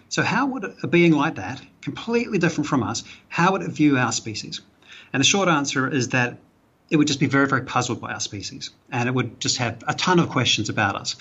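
A man with a New Zealand accent talks through ideas at length, pausing on fillers and restarting phrases. His voice is husky and mid-pitched. The sound is muffled and lo-fi, like a remote call. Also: a bit bright. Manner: thoughtful, explanatory, with frequent fillers. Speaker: guest, author and psychology professor. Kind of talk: podcast interview.

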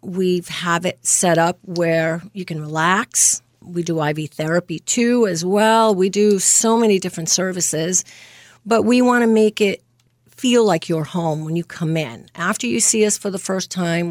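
A woman's voice: on the low side, moderate pace, no room sound. Woman in her fifties, from Greece, interview guest, earnest, laughs often, dry close sound. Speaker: nurse practitioner, interview guest. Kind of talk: casual interview.

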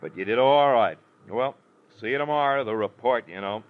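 An actor playing a steady, plain-spoken police lieutenant in a 1940s radio drama, matter-of-fact and understated. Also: male voice, mid-range voice, normal speed, natural voice. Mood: impressed, easygoing. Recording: muffled, noisy old radio broadcast.

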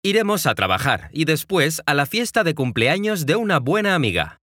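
A young Mexican man in his twenties reads a scripted learner's story in a clear, friendly voice that talks straight to the listener. His pitch sits a little high and rises and falls with plenty of life. The sound is dry and close, with no room around it. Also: sing-song, moderate pace, sharp tone, dry recording. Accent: Mexican Spanish. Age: 20s. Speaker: podcast host. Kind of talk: scripted narration for language learners.

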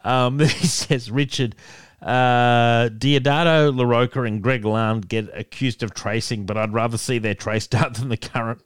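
A man's voice: mid-range voice, steady tone, a little slow, smooth, no room sound, studio quality. A man with a nasal voice co-hosting a casual podcast, reading listener emails and chuckling often. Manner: laughs often, chuckling between remarks. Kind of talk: casual chat.

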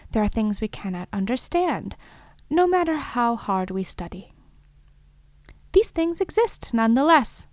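A woman performing a thoughtful, wondering narrator of strange field reports. Her voice sits fairly high and is recorded very dry, with no room around it. She speaks in measured, lyrical phrases, as in an intimate audio drama. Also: no room sound. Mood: gently hopeful. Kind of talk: scripted reflective first-person audio fiction monologue.

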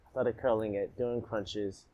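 Low-voiced man telling his story. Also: a little slow, highs cut off, crowd noise. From Alabama, raised partly in Jamaica. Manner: reflective, animated, rhythmic repetition. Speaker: guest. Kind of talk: casual storytelling in a podcast interview.

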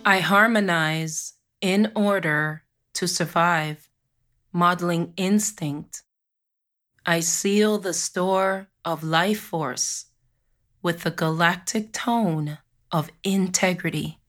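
A Jamaican-born woman hosting a spiritual podcast with a cold, losing her voice a little, who moves from slow chanted affirmations into a rambling talk full of fillers. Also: on the low side, fairly bright tone. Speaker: podcast host.

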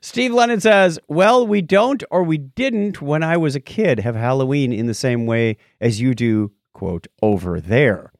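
Male podcast co-host, lively pitch swings, wry and casual, dry close sound. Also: a little slow, hardly any pauses, dry recording. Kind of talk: casual banter, reading listener messages aloud.

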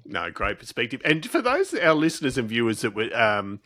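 Guy interviewer, bright forceful voice, dry close sound, kinda halting and agreeable. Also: mid-range voice, wildly swooping pitch, dry recording. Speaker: interview host. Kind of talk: conversational interview.

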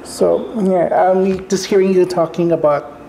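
Gravelly-voiced Hawaiian woman interviewer. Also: on the low side, unhurried, slight background noise, poor audio. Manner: relaxed, thoughtful with frequent fillers. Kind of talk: conversational interview.

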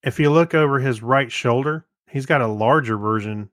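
A man's voice: mid-range voice, moderate pace, a bit bright, no room sound. Man in his fifties, casual, very dry sound. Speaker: podcast co-host. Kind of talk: casual chat, reminiscing.

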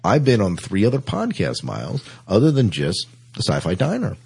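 A man with a low, husky voice hosts a podcast in a casual, chatty way. His pitch moves around a lot, giving him an animated, playful delivery. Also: moderate pace. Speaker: podcast host. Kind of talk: casual, chatty science fiction podcast hosting.

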